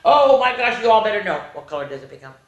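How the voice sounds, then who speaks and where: A woman hosting a children's story time, reading a picture book aloud in an animated, sing-song, question-asking way. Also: medium pitch, fast. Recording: roomy, echoey indoor sound.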